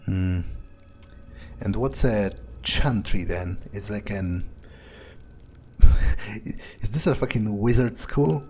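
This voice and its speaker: A man's voice: on the low side, animated, slow pace, pauses often, a little mellow, a little hiss or hum. A man role-playing a cocky, foul-mouthed fledgling vampire in improvised video game commentary, muttering hesitantly and swearing casually. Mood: guarded, curious. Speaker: game streamer role-playing his character. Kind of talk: in-character improvised gameplay commentary.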